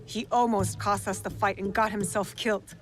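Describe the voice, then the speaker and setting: A woman's voice: medium pitch, steady tone, normal speed, lightly acted. An actress playing a stern, guarded young female fighter with a dry manner in a video game cutscene. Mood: troubled. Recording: game music behind the voice.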